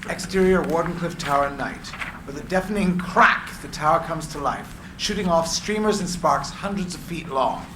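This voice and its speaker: A man's voice: on the high side, normal speed. A man reading screenplay stage directions aloud as dramatic narration at a live reading. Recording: echoey room, clear background noise.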